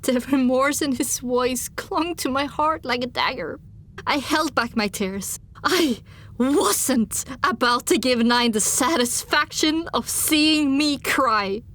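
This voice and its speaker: A woman narrating an audiobook in the first person, dramatic, grave, deliberate and intense. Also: high voice, a bit bright.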